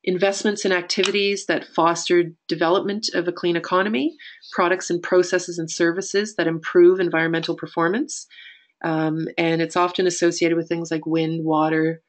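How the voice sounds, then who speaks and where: A woman executive director with a raspy voice and a Canadian accent, presenting a webinar in a steady, informal way with many pauses to think. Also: on the low side.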